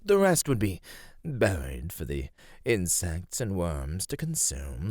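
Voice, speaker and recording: Man voicing a deep, slow, menacing serpent monster. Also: husky, dry recording, studio quality, heavily acted. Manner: slow, deliberate, ornate menacing speech with whispered asides. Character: male serpentine monster predator, ancient, patient, menacing, teasing. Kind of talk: ASMR roleplay, scripted acting.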